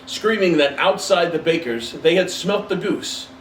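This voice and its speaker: A man reading a classic story aloud as narrator, in an expressive storybook style. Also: fairly high pitch, measured pace. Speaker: story reader. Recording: roomy, distant mic, faint hiss.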